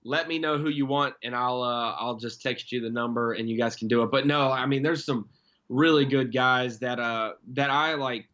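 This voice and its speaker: A man's voice: medium pitch, fairly even intonation, moderate pace, a bit bright, muffled recording. A young man talking casually as a guest, telling rambling stories. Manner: chatty, rambling storyteller. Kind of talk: casual chat.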